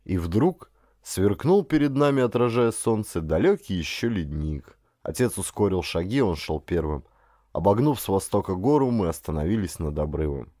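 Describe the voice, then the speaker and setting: A man reading an adventure novel as a dramatic audiobook narrator, in an expressive, deliberate storytelling manner. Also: low-pitched, dry recording, clean studio sound.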